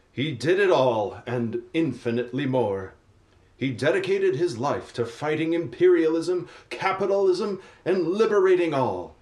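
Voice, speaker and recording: Guy narrating a radio play, kinda high voice, takes his time, dramatic storybook delivery.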